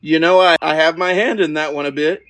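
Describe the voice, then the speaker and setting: A woman's voice: sits low, fairly quick, fairly bright tone, no echo. A woman hosting a podcast interview, introducing a guest and asking questions.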